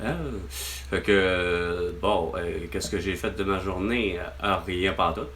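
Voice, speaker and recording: A man's voice: sits low, slow pace, fairly bright tone, a little hiss or hum. A man with a Québécois accent, venting to his mother on a phone call, rambling and exasperated, swearing often. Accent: Québécois French. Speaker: vlogger.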